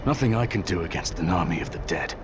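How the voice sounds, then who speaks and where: An actor playing a determined, grieving young bastard heir from the north in a video game cutscene, terse and brooding. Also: male voice, sits low, expressive, fast. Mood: bleak, resolute. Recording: dull muffled audio under loud music.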